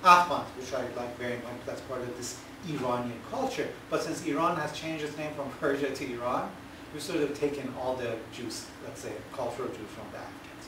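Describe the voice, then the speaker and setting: A man's voice: mid-range voice, brisk. A man, a history lecturer, answering audience questions in an emphatic way and often checking with "right?". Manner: emphatic, asks "right?" for agreement. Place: large echoey lecture hall. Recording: echoey hall, far-off, noisy.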